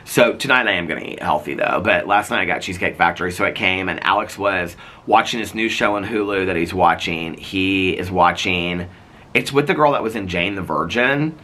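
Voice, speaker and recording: A man's voice: sits low, brisk, sharp tone. A man in his fifties with a Midwestern American accent, losing his voice so it sounds crackly, rambling chattily to camera on an outdoor porch. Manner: rambling, chatty, many fillers and restarts. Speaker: vlogger. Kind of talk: casual chat to camera.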